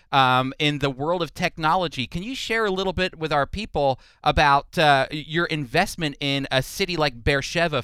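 A male radio host with a slightly high, bright voice. He interviews with emphasis and enthusiasm, and his delivery is clean and smooth, with a dry, close sound. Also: no room sound.

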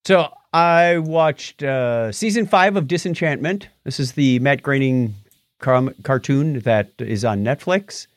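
A man's voice: medium pitch, slow pace, dry recording, clean studio sound. A middle-aged man co-hosting a long-running tech podcast in a casual, jokey chat. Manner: animated, jokey, reads out patron names. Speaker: podcast co-host.

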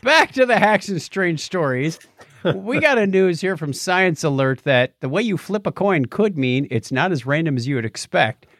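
Lively higher-pitched man co-hosting a podcast. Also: moderate pace, dry recording, clean studio sound. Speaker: podcast co-host. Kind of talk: casual chat and news commentary.

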